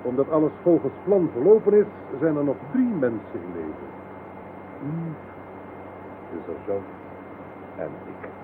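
A man's voice: mid-range voice, very animated, a little slow, long pauses. A tinny, noisy radio play recording of a pompous older general. His formal, grandiose speech rises and falls widely, like a deluded leader addressing his subjects. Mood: smug, formal. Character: pompous, deluded old general, self-styled modern Noah.

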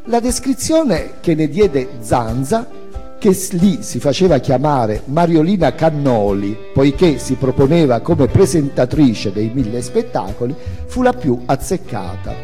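A man's voice: mid-range voice, very animated, unhurried, dark tone, long reverb tail, faint music behind. A man giving a theatrical reading of autobiographical prose as its author and narrator, with dramatic, emphatic phrasing.

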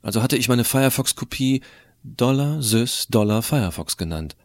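A man's voice: medium pitch, animated, normal speed, no room sound, natural voice. A man playing a cheeky, rebellious, tech-savvy teenage schoolboy who narrates an audio drama, explaining things to the listener in a colloquial, wry way. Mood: matter-of-fact, scornful.

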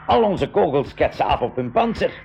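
A man with a Flemish accent playing an excitable, anxious professor in a theatrical radio play style. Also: a little high, normal speed, vocal fry, slight background noise. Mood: worried. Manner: theatrical, exclamatory. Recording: muffled 1980s school radio recording.

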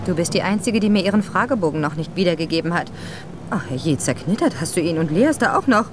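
Woman acting a bossy female teacher, impatient, brisk, scolding, very lively pitch, radio play, clear background noise. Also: lightly acted. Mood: exasperated. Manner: brisk, scolding, talks down to children.

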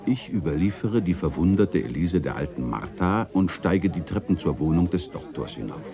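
A man's voice: sits low, expressive, moderate pace, gravelly, mellow. An actor playing an observant uncle who narrates his memories vividly, in a literary radio play. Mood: wry, measured. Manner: vivid storytelling.

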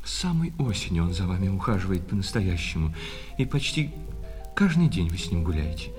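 Jealous, fawning shop clerk, animated voice. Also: male voice, low-pitched, fairly quick. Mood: jealous, reproachful. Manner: servile sales patter alternating with bitter reproach. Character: obsequious, jealous, wounded male haberdashery shop clerk. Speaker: actor. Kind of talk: radio play acting of a classic short story. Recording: reverberant hall, music behind.

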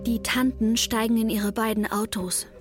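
An actress playing a sharp-witted teenage daughter who narrates a radio play with dry, sardonic asides. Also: female voice, fairly high pitch, flat delivery, normal speed, close to an everyday voice. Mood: hushed, watchful. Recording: music behind the voice.

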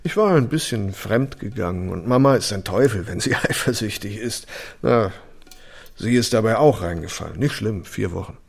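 A man playing a worldly, roguish ex-convict with a soft spot in a radio drama, talking casually in slang with a husky, gravelly voice. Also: fairly low pitch, animated, normal speed, full character voice. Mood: amused, affectionate. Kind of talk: naturalistic radio drama acting.

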